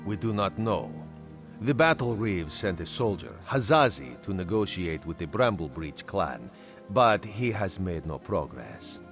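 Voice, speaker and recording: An actor playing a sly, genial cat-folk agent in a video game, speaking in a measured, theatrical way. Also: male voice, deep voice, sing-song, fairly bright tone, faint music behind, exaggerated voice. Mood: businesslike. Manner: speaks of himself in third person, measured and theatrical. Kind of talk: video game character dialogue.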